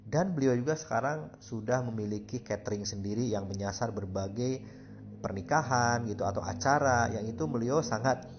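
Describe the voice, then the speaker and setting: A man hosting a podcast, introducing a guest in a measured way with frequent hesitation fillers. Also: mid-range voice, muffled recording, slight background noise. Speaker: podcast host.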